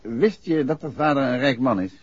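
An actor playing a calm, probing amateur detective in a 1960s radio drama, questioning briskly and with control. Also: male voice, mid-range voice, slightly rough, no room sound, natural voice. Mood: probing, firm. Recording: muffled, noisy 1960s radio recording.

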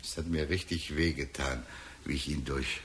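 An actor playing a talkative, sly police detective in a radio drama, deliberate and insinuating, with falsely sympathetic musing. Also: male voice, rumbling low, steady tone, fairly bright tone. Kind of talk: German radio drama acting.

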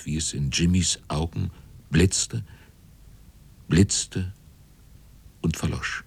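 An actor narrating a radio drama as a grave seaman, with a husky, often hushed delivery. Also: rumbling low, heavily acted.

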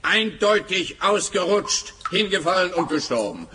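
Pompous slow-witted cop in a radio play, sharp forceful voice, bit of noise. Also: male voice, high-pitched, very animated, full character voice. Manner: pompous, long-winded, self-satisfied. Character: pompous, dim male police official stating the obvious.

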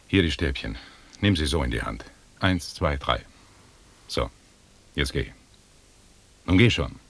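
Stern military commander, radio play, very deep pitch, rough husky tone, formal, commanding. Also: male voice, lively intonation, measured pace, heavily acted. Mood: curt commanding. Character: stern British expedition commander in colonial Africa. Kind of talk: 1980s German radio play acting.

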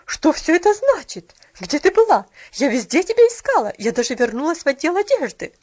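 A woman's voice: sits high, big pitch swings, brisk, darkish tone, highs cut off, voice pushed up. A woman doing an icy, scolding rich lady. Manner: icy, stern, reproachful. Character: cold, disapproving wealthy older lady.

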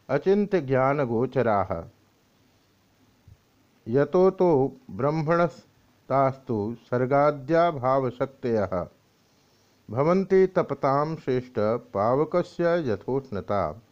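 A man reading scriptural commentary and verse aloud in a deliberate, measured way with pauses. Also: mid-range voice, a little mellow. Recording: dull lo-fi recording.

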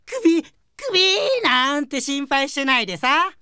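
High, bright, flippant girl. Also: normal speed, no echo, clean studio sound. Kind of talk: Japanese comedic audio drama acting.

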